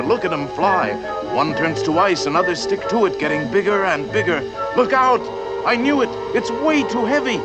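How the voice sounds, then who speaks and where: A man giving playful, theatrical scripted narration in the manner of a 1950s educational film. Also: high-pitched. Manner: theatrical, expressive phrasing. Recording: old film soundtrack under loud music. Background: loud musical score.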